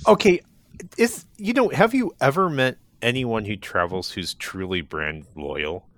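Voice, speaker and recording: A man co-hosting a casual chat, asking questions in a lively, teasing manner. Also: medium pitch, unhurried.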